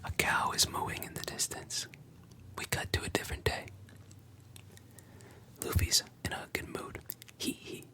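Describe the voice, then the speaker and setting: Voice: a little slow, long pauses, sharp tone. A storyteller whispering a retelling of a cartoon episode, with chatty asides.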